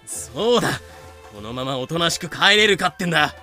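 Rough, excitable greedy crewman, high and piercingly bright, for an anime-style audio drama. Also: fairly quick, faint music behind. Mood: indignant. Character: rowdy, greedy, foul-mouthed pirate crewman.

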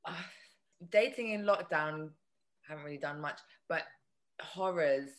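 A woman with a husky voice telling a dating story in casual chat, full of restarts. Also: measured pace. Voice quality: husky, lower voice. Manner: animated, hesitant restarts.

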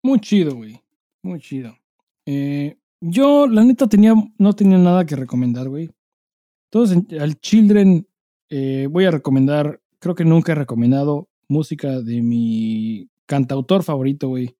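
A Mexican man with a fairly high-set voice and a very mellow, dark timbre, recorded close and dry. He talks like a podcast co-host among friends, slangy and sweary, slipping into quoted dialogue as he tells a story. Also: expressive, normal speed, no room sound. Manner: informal, profanity-laden, acts out dialogue while telling anecdotes. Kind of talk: casual chat with slangy storytelling.

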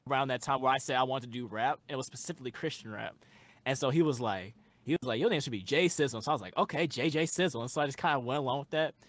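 A man's voice: medium pitch, racing, no echo. A young man who makes music, a guest in a casual interview, talking earnestly and rambling with lots of fillers.

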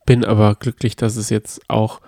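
A man's voice: on the low side, level tone, normal speed, mellow, no echo, clean studio sound. A man chatting casually and enthusiastically as a podcast co-host.

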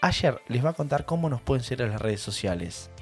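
A man's voice: mid-range voice, animated, a little fast, darkish tone. Young Argentine man hosting over music. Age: 20s. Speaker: podcast host and interviewer. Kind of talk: casual interview chat.

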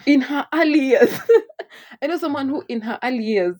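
A Kenyan woman co-hosting a podcast in casual, lively chat, laughing often as she talks. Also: sits high, moderate pace. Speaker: podcast co-host. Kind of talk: casual chat, code-switching.

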